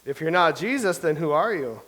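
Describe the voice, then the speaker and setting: An actor in a staged reading playing an earnest, insistent believer who explains things. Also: male voice, on the high side, expressive, fairly quick, fairly bright tone. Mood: questioning.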